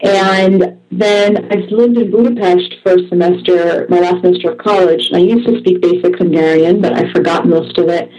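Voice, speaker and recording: A woman's voice: fairly low pitch, mellow, highs cut off. Chatty woman, eager storyteller. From former Soviet Union, raised in the US. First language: Russian. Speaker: interview guest, author. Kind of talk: casual interview answers.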